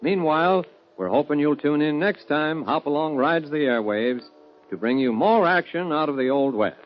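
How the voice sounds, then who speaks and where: A man's voice: a little high, moderate pace. A man with an American accent announcing a 1950s radio show in a hearty, theatrical, polished scripted style. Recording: muffled vintage radio recording with music.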